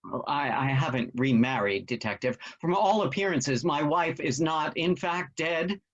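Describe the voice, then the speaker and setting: A man's voice: a little high, animated, unhurried, fairly bright tone, lo-fi sound. A man playing a bemused, wry middle-aged father in a comic play read aloud. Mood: polite, hesitant. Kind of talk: table-read stage comedy acting.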